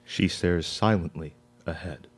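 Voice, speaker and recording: A man reading scripted scene narration for a live radio play in a measured, formal manner. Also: low voice. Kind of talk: scripted narration of stage directions.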